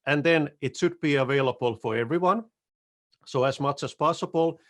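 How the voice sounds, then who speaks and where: Finnish-accented man giving a tech talk. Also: medium pitch. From Finland. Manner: relaxed, self-deprecating, occasional restarts and throat clearing. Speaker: solutions architect presenting. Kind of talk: conference talk over video call. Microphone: headset on video call.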